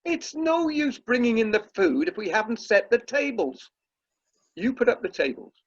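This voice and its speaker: A man's voice: very high voice, sing-song, normal speed, exaggerated voice. An amateur actor playing a pompous, irritable middle-aged British drama society chairman in a radio play. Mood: irritated, bossy. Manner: pompous, self-dramatising, exasperated. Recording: muffled, lo-fi video call audio.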